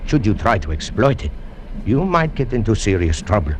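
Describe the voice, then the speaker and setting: An actor playing a formal, scholarly Peruvian museum curator, in an old-time radio drama. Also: male voice, lowish voice, very animated. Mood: regretful, cautioning. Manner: measured, formal, polite. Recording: noisy old radio broadcast recording.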